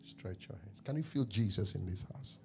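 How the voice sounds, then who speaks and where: A man from Ghana with a Ghanaian accent, a pastor calling himself a prophet, praying over people in a church service with slow drawn-out declarations that swell into loud shouts. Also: on the low side, animated, creaky. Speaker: pastor, self-styled prophet.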